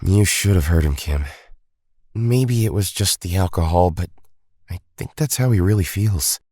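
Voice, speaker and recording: A man's voice: deep voice, lively intonation, normal speed, dark tone, no room sound, clean studio sound. A man playing an earnest, troubled young superhero team leader in an audio drama, questioning and conscientious.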